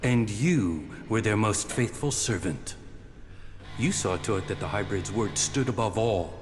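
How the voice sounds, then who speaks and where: A man playing a wary, proud rebel soldier in a video game, speaking in a measured, formal and distrustful way. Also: fairly low pitch, expressive. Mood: stern, measured. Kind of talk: video game cutscene acting. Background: music.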